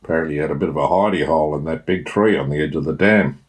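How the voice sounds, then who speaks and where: A man voicing a gruff, plain-speaking Australian country father in a story reading. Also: very deep voice, rapid-fire. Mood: sombre.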